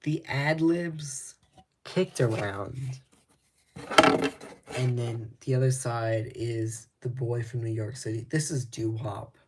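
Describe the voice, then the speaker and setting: A man talking slowly and casually while showing his records, with a slightly raspy voice. He rambles, stops to restart his thoughts, and adds lots of ums. There is clear background noise with clicks behind him. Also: mid-range voice, darkish tone. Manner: laid-back, rambling, many ums and restarts. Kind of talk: casual talk to camera.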